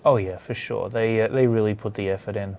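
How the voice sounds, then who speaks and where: A man's voice: fairly low pitch, fairly even intonation, fairly quick, vocal fry, a little mellow, slight background noise. A man hosting a studio session in casual chat, talking in a hesitant, rambling way. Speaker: host of a comics drawing studio session.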